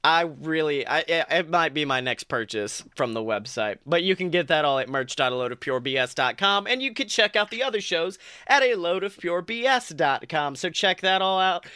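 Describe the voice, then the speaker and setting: A young man hosts a casual podcast with a high, bright voice and a jokey, hyped manner. He is recorded close and dry, with no room sound. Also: expressive, moderate pace, few pauses, smooth, dry recording. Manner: hyped, joking. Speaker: podcast co-host. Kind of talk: casual podcast chat and story reading.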